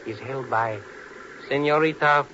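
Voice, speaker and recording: An actor playing a hot-headed Latin American engineer, emphatic and formal, in old radio drama. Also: male voice, medium pitch, a little slow, bright, forceful tone. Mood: earnest, defensive. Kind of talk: 1950s radio drama acting. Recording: muffled, noisy 1950s radio recording.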